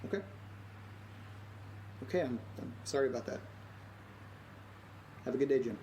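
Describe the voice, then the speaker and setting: An actor playing an anxious, earnest man in a naturalistic audio drama, speaking in a hesitant, halting way. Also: medium pitch, warm tone, muffled recording, slight background noise, natural voice. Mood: uneasy, pressing. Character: anxious, earnest man recording strange events at home. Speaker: lead character of a horror audio drama.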